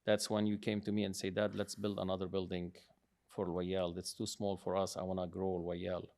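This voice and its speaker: A man's voice: deep voice, completely flat delivery, moderate pace, darkish tone. A middle-aged man telling his life story in a casual conversation, proud and rambling, often restarting his sentences. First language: English. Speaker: guest, father of the host.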